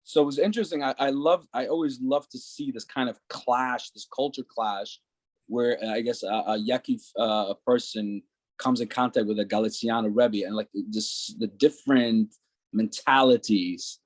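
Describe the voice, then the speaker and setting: A man's voice: medium pitch, moderate pace, no echo. A man hosting an interview, asking long, loose questions full of fillers. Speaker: interviewer and host.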